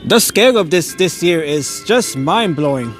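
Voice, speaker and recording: A man's voice: a little high, animated, moderate pace, faint music behind. Awed New man filming on a gimbal. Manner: awed, stammers now and then, chuckles often. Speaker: live-stream host touring holiday lights. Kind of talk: casual live-stream narration. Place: outdoors on a neighborhood street at night. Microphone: phone on a handheld gimbal.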